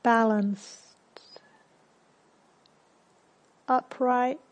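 Slow, higher-pitched woman guiding meditation. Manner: calm, unhurried, gently instructing with long pauses. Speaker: meditation teacher. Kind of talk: guided meditation.

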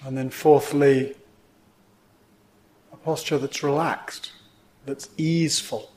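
A man teaching meditation, guiding a sitting in gentle, measured phrases with pauses between them. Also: medium pitch, steady tone. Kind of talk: guided meditation instruction.

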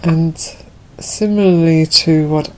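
A woman leading a guided meditation as a Buddhist teacher, unhurried, leaving gentle pauses between her phrases. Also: low voice, fairly even intonation, poor audio.

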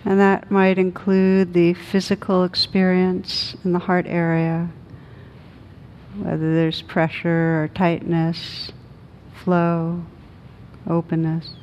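A woman meditation teacher leading a guided meditation in a gentle, soothing manner with long pauses. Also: on the low side, monotone, highs cut off, a little hiss or hum.

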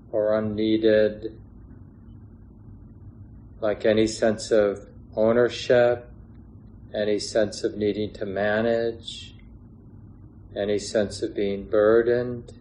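A man teaching a guided meditation in a gentle, unhurried way, pausing between short phrases. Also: fairly low pitch, a little hiss or hum, low-quality recording. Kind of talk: guided meditation instruction, unscripted.

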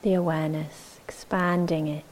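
A woman teaching meditation, giving gentle, measured instructions with long pauses between short phrases. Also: sits low, level tone, slight background noise, rough recording.

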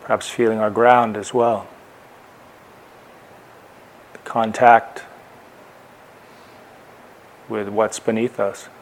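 A man giving guided meditation instruction as a teacher, speaking in short, unhurried phrases with long pauses. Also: fairly low pitch, level tone, poor audio. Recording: clear background noise, room ambience.

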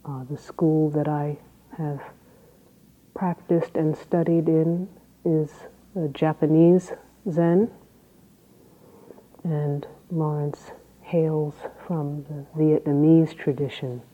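A woman Zen teacher with a low voice, giving an unhurried dharma talk with halting phrases and long pauses. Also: fairly even intonation, narrow, tinny audio.

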